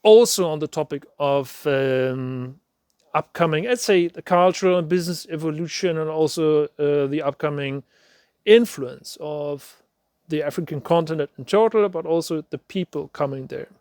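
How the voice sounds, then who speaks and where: Male host of an online talk with a fairly high, smooth, resonant voice, recorded dry and close with no room sound. He feels his way through sentences with fillers and restarts. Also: no room sound. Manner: hesitant, many fillers and restarts.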